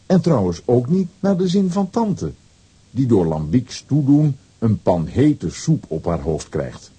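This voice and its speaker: A man narrating a children's radio play in a scripted storytelling style, with a dark, husky voice. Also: medium pitch, big pitch swings, unhurried, full character voice.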